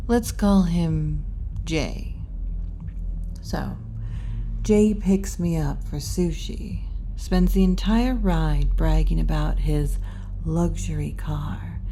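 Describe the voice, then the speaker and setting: A woman's voice: deep voice, mellow, a little hiss or hum. A woman voicing a teasing, kindly tomboy wolf girl bartender in a laid-back, wry, intimate ASMR roleplay, often dropping toward a whisper. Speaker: roleplay performer. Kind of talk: ASMR roleplay, addressing the listener.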